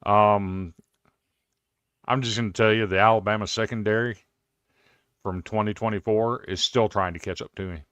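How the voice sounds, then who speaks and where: A man's voice: fairly low pitch, steady tone, moderate pace, pauses often, a bit bright, clean tone, no echo. A man in his fifties with an American accent, telling stories in casual sports talk as a show guest. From Iowa, United States. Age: 50s.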